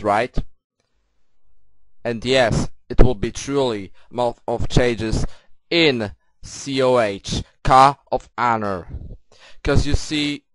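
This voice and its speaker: A man's voice: medium pitch, no room sound, poor audio. A man with a Polish accent cutting a boastful wrestling promo, leaning on "you see" again and again. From Poland.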